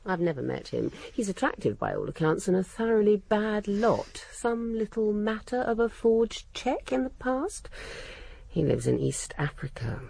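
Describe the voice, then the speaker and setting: Radio-drama housekeeper, educated English woman, husky, animated intonation, shrewd, dry, poised, gossipy. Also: medium pitch, normal speed, dry recording, full character voice. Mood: gossipy, candid. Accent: English, educated upper-middle-class. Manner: poised, dry, candid, cutting.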